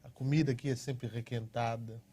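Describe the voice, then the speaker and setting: A man's voice: medium pitch, fairly even intonation, brisk, warm tone, husky. A man with a Brazilian Portuguese accent co-hosting a TV interview and trading teasing, joking banter. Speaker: co-host.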